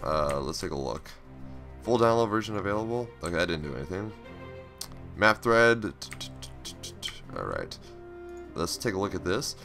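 Casting: lowish, lively, slightly raspy male host narrating a game playthrough over faint game music. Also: normal speed, pauses often. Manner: chatty, reads on-screen text aloud, upbeat. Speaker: gaming channel host. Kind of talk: casual let's play commentary.

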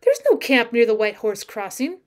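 A woman voicing a proud young frontier woman in a storybook, speaking in a deliberate manner. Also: on the high side, sing-song, a little mellow. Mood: sharp, suspicious. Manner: deliberate, saccharine. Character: proud young frontier woman, teasing, sharp, later softened. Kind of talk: acted storybook dialogue.

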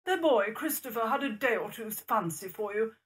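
A woman's voice: on the high side, animated, normal speed, lightly acted. A woman playing a haughty, fussy older upper-class mother-in-law, imperious and scolding, in an audio drama. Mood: brisk, imperious. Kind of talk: radio play acting.

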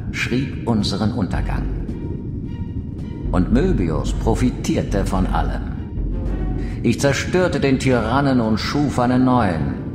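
A male actor playing an ancient, fatalistic vampire lord who schemes and speaks solemnly and theatrically in a video game cutscene. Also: mid-range voice. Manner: solemn, theatrical, oratorical delivery. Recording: echoey hall reverb, loud music behind.

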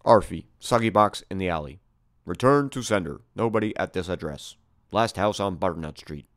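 A man reads as an official from a children's picture book. The tone is formal and businesslike, and the pitch rises and falls in a lively way. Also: normal speed. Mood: officious. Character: formal, impersonal official writing a rejection. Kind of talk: storybook character read-aloud.

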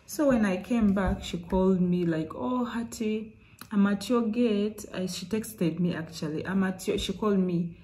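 A woman's voice: mid-range voice, moderate pace, warm tone, low-quality recording. A young woman telling a story to her vlog camera in a small indoor room, chatty and acting out the phone calls she describes. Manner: chatty, retells calls with quoted voices. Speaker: vlogger. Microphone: phone camera at arm's length.

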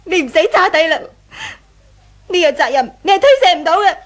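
An actress playing a wronged, resolute young wife in a 1980s radio drama, with a Hong Kong Cantonese accent. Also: female voice, very high voice, expressive, a little fast, a little hiss or hum. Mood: cold, hurt.

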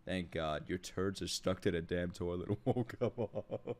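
A young man giving casual, excitable commentary as he reacts to an anime, swearing freely and breaking into shouts and laughter. He talks into a boom-mounted desk mic at home. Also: a little slow. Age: young adult. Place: home streaming room. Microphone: boom-mounted desk mic, wearing headphones.

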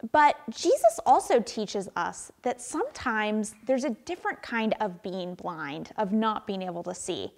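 A young woman pastor speaks to children in a high, bright voice. Her delivery is animated and friendly, with lots of simple questions and encouragement. She sounds like she's in a church sanctuary, picked up by a camera across the room. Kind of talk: children's sermon. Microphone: far camera.

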